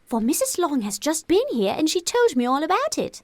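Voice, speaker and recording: An excitable, gossipy middle-aged British wife in a classic-novel audiobook. Her voice sits very high and swoops freely as she gushes, nags and frets. The sound is dry and close. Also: normal speed, no echo. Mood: eager, impatient. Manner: exclamatory, gushing, fretful. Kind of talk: audiobook character acting.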